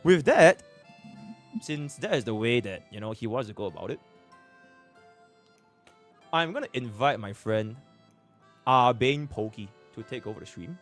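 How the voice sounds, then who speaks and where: A man with a Singaporean accent speaking on a livestream, halting and filler-heavy. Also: mid-range voice, lively intonation, faint music behind. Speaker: streamer. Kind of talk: livestream talk.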